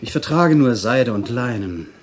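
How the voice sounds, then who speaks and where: A man's voice: mid-range voice, expressive, a little slow, slight background noise, close to an everyday voice. Actor as vain, suave, genteel con man. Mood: pampered complaining. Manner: affected, genteel, self-pitying. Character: vain, suave male con man in prison, pampered gourmet. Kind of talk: radio play acting.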